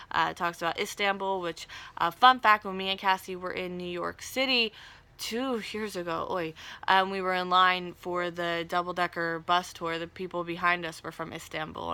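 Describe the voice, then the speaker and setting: A woman's voice: fairly low pitch, sharp tone, clean tone. A woman hosting a casual, chatty TV soap recap, with wry asides and slangy, conversational paraphrasing. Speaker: video recap host.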